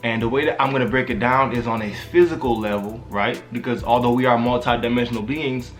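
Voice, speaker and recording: A man of ordinary pitch talks straight to his viewers in loose, casual slang, filling his talk with checks like "you feel me". He sounds laid back and confident as he hypes his followers. Faint music plays softly behind him. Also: steady tone, brisk. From Haitian background. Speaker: spiritual vlogger. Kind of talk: casual vlog monologue.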